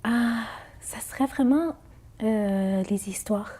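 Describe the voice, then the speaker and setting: A woman's voice: on the high side, takes it slowly, mellow. A woman singer whose first language is Arabic, answering interview questions in a hesitant way, with many fillers and restarts.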